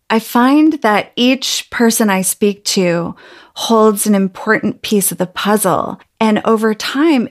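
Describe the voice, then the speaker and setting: A woman hosting a podcast interview, earnest and unhurried, with frequent fillers. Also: medium pitch. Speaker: podcast host.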